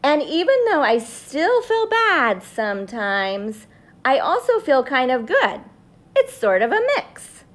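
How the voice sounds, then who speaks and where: A woman's voice: high-pitched, sing-song, slow, fairly bright tone, full character voice. A woman voicing a small grumpy sunflower seed who is trying to be good, telling its story dramatically as a children's read-aloud. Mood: earnest, hopeful. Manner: dramatic, confessional first-person storytelling.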